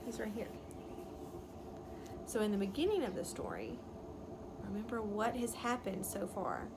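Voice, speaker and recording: Lady teacher doing a storytime for little kids, really up and down expressive voice, asks questions, outside w noisy background and wind chime. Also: mid-range voice, normal speed. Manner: instructive, explains words simply, checks understanding. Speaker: teacher reading to young children. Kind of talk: picture-book read-aloud with questions to children. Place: outdoors. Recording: clearly noisy outdoor ambience, wind chime. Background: birds chirping, wind chime.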